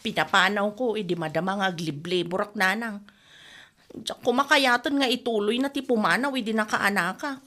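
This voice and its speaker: A woman's voice: medium pitch, normal speed, sharp tone, lightly acted. A woman playing a pleading, stubborn young wife and mother with an Ilocano Filipino accent in a radio comedy drama. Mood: defensive, complaining.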